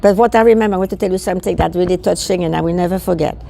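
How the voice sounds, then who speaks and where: An elderly woman from Belgium whose first language is French, speaking English with a Belgian French accent. Her voice is low, dark and gravelly. She searches for words and repeats herself as she recalls her childhood. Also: rapid-fire. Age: 80s. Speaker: guest. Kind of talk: recounting childhood memories.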